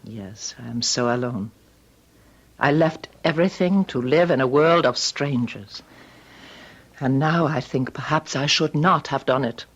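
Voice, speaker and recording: A woman's voice: very deep voice, a little slow, husky, highs cut off, exaggerated voice. A woman acting in an old radio drama as a frightened, theatrical young ballerina, pleading. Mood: wistful. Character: frightened, melodramatic young Russian ballerina who defected.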